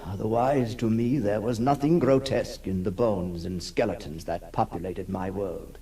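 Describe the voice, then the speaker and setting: An actor playing a haunted, lonely male outcast in a halting, theatrical 1960s radio drama monologue, intense and tormented. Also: lowish voice, dark tone, natural voice. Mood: brooding, wistful. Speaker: actor narrating as the character. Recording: noisy 1960s radio recording.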